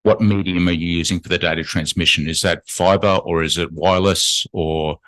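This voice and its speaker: A man in a conference audience asking a speaker technical questions, halting and restarting as he goes. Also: sits low, fairly even intonation, a bit bright, no echo. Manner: halting, restarts with filler words. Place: conference room.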